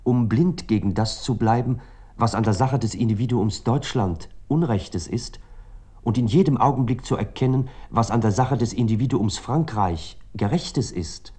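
An actor playing a reflective, ironic literary narrator in a radio play, speaking in measured, articulate, long sentences. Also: male voice, mid-range voice, warm tone, slightly rough, close to an everyday voice.

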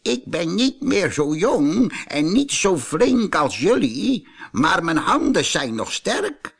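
A man's voice: high-pitched, big pitch swings, takes it slowly, gravelly, husky, pitched above the performer's own voice, heavily acted. A man voicing a modest, plucky, kindly old toy monkey in a storybook. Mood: modest, willing.

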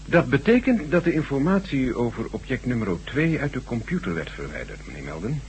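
An actor playing an obliging, principled chief computer programmer in a radio drama, measured, courteous and confiding. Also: male voice, mid-range voice, sing-song, darkish tone, close to an everyday voice. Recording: noisy, humming old radio recording.

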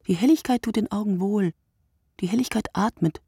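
Sleepless woman narrator, husky, gravelly, reflective, measured phrasing, literary radio play. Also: mid-range voice, dark tone, dry recording, studio quality. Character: sleepless woman musing in a literary night monologue. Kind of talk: scripted literary radio monologue.

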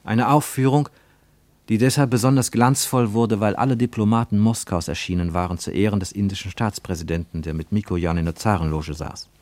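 Low-voiced man narrating literary prose. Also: steady tone, a little mellow, no echo. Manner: measured, rhetorical, emphatic phrasing. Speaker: narrator of a radio literary play.

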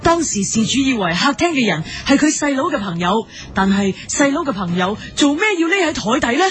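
An earnest police presenter played by a woman with a high, very lively voice. She narrates crime reconstructions with mock-serious drama over music, as in a comedy radio play. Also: fairly quick. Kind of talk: parody police report, scripted narration.

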